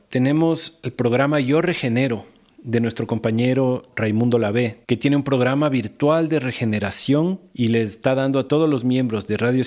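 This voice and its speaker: A man's voice: mid-range voice, a little mellow. A man co-hosting a podcast, reading announcements for supporters in an upbeat, persuasive way. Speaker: podcast co-host.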